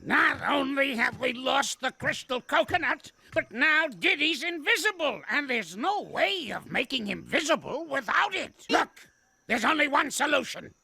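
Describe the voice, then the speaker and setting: An actor voicing a grouchy, bossy old male ape in an animated cartoon, who scolds everyone in a gravelly voice. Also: very high voice, normal speed, dry recording, full character voice. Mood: scolding. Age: over 60. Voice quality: gravelly, cackling. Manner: scolding, calls others knuckleheads. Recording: cartoon soundtrack with music behind.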